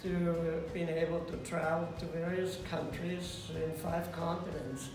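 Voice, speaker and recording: Older guy, flat even voice, roomy echo from far off. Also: on the high side, a little slow, over music. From Austria. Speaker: guest photographer. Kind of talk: casual interview. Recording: echoey room, far from mic, background noise.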